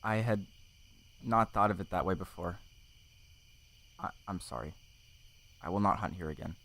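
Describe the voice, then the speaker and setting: Naive young pet tomcat played by a man, low-ish voice, hesitant and full of questions, for an animal audio drama. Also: moderate pace, pushed and bright, heavily acted. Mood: apologetic. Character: curious, naive young male house cat. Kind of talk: audiobook fandub acting.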